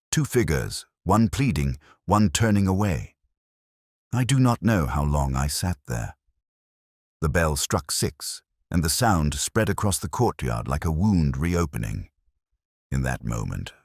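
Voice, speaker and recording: A man playing a weary, razor-minded middle-aged police inspector, narrating his case in a measured, brooding, literary way, as in a detective audio drama. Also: low voice, no echo, studio quality, full character voice.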